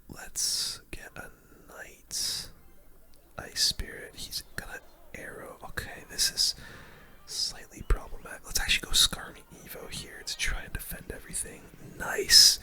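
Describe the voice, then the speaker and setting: A young man delivers ASMR gaming commentary entirely in a breathy whisper, close to the mic. The sound is crisp and bright, with no real voicing. His delivery is casual and slangy as he reacts to the play. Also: a little slow. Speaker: gaming video host.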